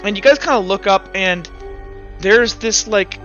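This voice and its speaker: A man running a tabletop roleplaying game as game master, talking casually and haltingly as he thinks aloud. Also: sits high, a bit bright, dull audio, soft background music.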